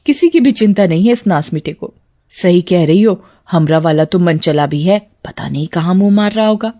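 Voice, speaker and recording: A woman's voice: on the low side, expressive, normal speed, warm tone, no echo, clean studio sound, natural voice. Woman as a young village wife, colloquial, scolding, bashful, cartoon. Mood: complaining. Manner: colloquial, complaining.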